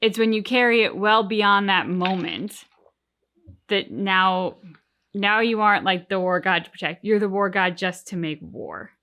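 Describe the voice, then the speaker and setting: A woman hosting a reaction show, discussing things casually and analytically with emphatic, paused phrasing. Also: medium pitch, bright, forceful tone. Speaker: reaction channel host.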